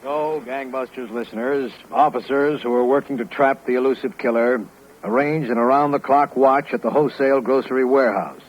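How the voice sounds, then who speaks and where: A man's voice: medium pitch, fairly even intonation, a little fast, lightly acted. A man playing the earnest narrator of a 1950s radio crime show, reading formal scripted narration. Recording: muffled, faintly noisy old radio recording.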